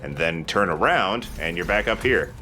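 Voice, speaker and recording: Bright, lively low-voiced man. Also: normal speed, soft background music. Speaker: let's play host playing the game. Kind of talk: casual gaming commentary.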